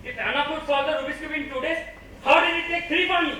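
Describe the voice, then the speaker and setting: Guy doing a bossy know-it-all brain, indian accent, really high voice, amateur stage play, far off mic. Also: quick pace, sharp tone. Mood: outraged. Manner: insistent, boastful, easily outraged. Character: bossy, competitive left brain, proud and scornful. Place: stage in a hall, far microphone. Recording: distant, echoey stage recording with faint noise.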